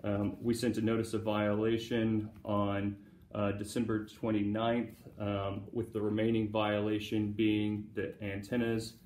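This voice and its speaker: Male city official, low monotone, formal and halting testimony, roomy sound. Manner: procedural, halting with many pauses. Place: indoor public hearing room. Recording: echoey room, faint background noise.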